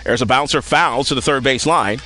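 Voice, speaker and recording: A man calling live softball play-by-play as a radio-style sports announcer, outdoors at a ballfield. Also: mid-range voice, a little fast.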